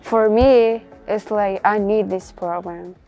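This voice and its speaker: Slow, mellow teenage girl. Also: medium pitch, fairly even intonation, soft background music. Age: teens. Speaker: high school student. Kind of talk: personal interview answer.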